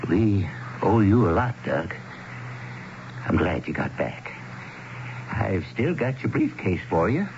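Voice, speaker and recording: A man's voice: low-pitched, sing-song, takes it slowly, vocal fry, pauses often, exaggerated voice. An actor playing a grateful, welcoming, aged small-town man in a 1970s radio drama. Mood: hearty, grateful. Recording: hissy, dull 1970s radio broadcast.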